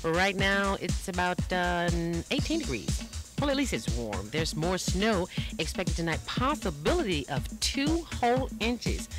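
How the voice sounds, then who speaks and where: Lively, playful American woman radio DJ over music. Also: on the low side, unhurried. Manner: chatty, playful, self-teasing.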